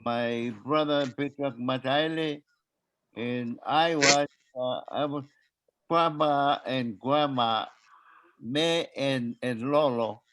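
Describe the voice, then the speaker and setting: An older man, sharing family memories on a video call in a halting way, with many pauses and filler sounds as he searches for names. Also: medium pitch, a bit bright, rough recording.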